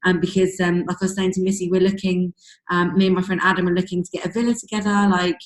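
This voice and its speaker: A woman with a husky, fading voice, coaching her sales team on a call in a chatty way full of fillers. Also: on the low side, level tone, fast. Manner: chatty, many fillers like "um" and "you know". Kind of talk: casual motivational coaching talk. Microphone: video call. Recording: echoey room over a video call.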